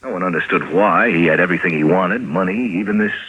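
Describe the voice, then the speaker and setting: An actor playing a cynical, cultured nephew in a 1950s radio drama, speaking in a dry, formal, worldly way. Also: male voice, deep voice, fast talker, narrow, tinny audio, natural voice. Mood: somber. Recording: noisy vintage 1950s radio recording.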